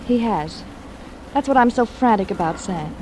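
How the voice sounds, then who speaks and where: A woman's voice: medium pitch, lively intonation, normal speed, noisy background, natural voice. A woman with an American accent playing an alluring, coaxing femme fatale in dramatic 1940s radio noir style. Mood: anxious, pleading. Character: alluring, pleading femme fatale, gangster's wife.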